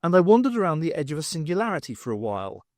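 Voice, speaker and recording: A man puts on a fussy male artist for an audiobook. The voice is pitched high and swoops around as he rambles airily, half blustering and half apologetic. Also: big pitch swings, racing, darkish tone, no echo, studio quality. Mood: dreamy wonder. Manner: rambling, airy, hesitant.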